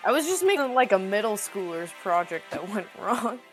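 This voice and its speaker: A woman's voice: mid-range voice, sing-song, normal speed, over music. A woman playing a tabletop game, talking in casual improvised banter.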